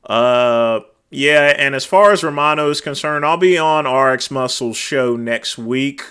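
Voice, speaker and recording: Man hosting a livestream, loud carrying voice, bright and forceful tone, rambling with fillers, trailing off quietly, desk mic. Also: mid-range voice, a little slow, clear, resonant tone. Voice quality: naturally loud, cutting voice. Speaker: livestream host. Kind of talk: casual chat reading viewer comments. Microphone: desk microphone.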